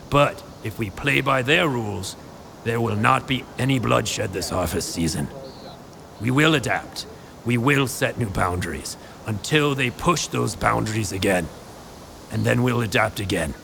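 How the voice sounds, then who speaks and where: An actor playing a cautious, stubborn townsman in an audio drama, speaking with emphatic oratory and a gravelly voice. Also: medium pitch, unhurried, a bit bright, slight background noise. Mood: grave, urging. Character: cautious, stubborn townsman urging appeasement and survival.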